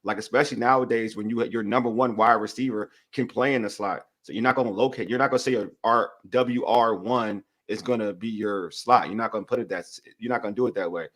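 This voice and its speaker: A man co-hosting a casual sports talk show, talking in quick bursts with frequent restarts. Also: lowish voice. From Nigeria. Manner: animated, talks through restarts. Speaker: podcast co-host.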